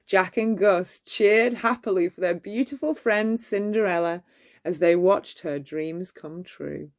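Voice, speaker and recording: A woman narrating a children's bedtime story in a measured, expressive storytelling manner. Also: fairly low pitch. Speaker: storybook reader.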